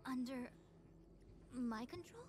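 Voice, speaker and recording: A woman's voice: high-pitched, animated, slow, a little mellow. A woman playing a proud, exacting young master diviner and commander in a video game, speaking formally and imperiously. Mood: taken aback. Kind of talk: scripted game dialogue, English dub. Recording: game music behind the voice. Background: background game music.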